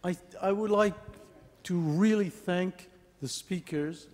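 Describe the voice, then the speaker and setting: A man chairs a conference session in a friendly, brisk way, keeping it on time. His voice is mellow and slightly high. The long reverb of a large hall surrounds it. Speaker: session moderator.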